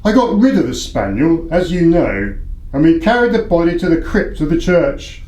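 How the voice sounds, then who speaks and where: A man's voice: on the high side, big pitch swings, normal speed, a little mellow, echoey room, highs cut off. A man acting in a radio play as a proud, desperate baronet, formal, defensive and imperious. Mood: solemn, defensive. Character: proud, desperate English baronet, indebted horse owner.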